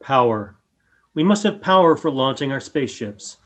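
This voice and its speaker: A woman in a radio play voicing a solemn, courteous alien who speaks in a measured, formal way through a robot. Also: a bass voice, lively intonation. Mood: calm, formal.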